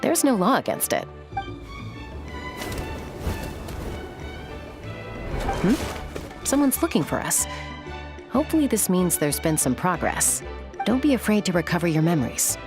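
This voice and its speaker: A woman's voice: fairly low pitch, big pitch swings, normal speed. A woman playing an easygoing, reassuring, thoughtful young friend in a video game. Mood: encouraging. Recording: music behind the voice.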